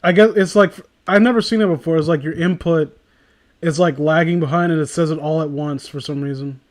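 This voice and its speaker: High-voiced man riffing in animated, sarcastic style. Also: brisk. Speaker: host reading fan fiction aloud. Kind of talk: casual comedic chat and riffing.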